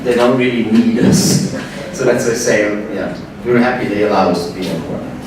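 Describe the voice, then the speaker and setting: A man's voice: on the low side, steady tone, normal speed. A man from Belgium giving a conference talk, hesitant, with many repeated words and restarts. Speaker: panel speaker. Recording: echoey hall, distant mic, background noise.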